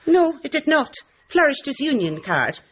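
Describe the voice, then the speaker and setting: A woman's voice: sits high, sing-song, moderate pace. Chatty older housekeeper lady, radio drama, very up and down, dull noisy recording. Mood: indignant, gossipy. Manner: chatty, indignant, fussing.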